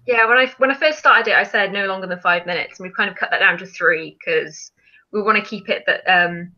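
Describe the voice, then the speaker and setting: A woman's voice: medium pitch, a little fast, pushed and bright, lo-fi sound. A woman hosting a casual live stream, chatting and explaining things in an informal way. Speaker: film challenge live stream host.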